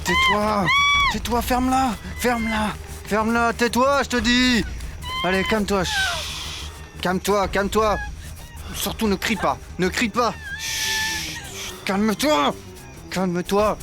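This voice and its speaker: An actor playing a menacing abductor in a dubbed melodrama, barking harsh commands and shushing. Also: male voice, high-pitched, big pitch swings, a little slow, music in the background. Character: menacing male abductor silencing a victim.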